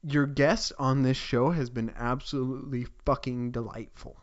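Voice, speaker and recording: A man co-hosting a podcast in a sweary, deadpan style of crude, absurd comic banter. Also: mid-range voice, normal speed, dull audio. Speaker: podcast co-host.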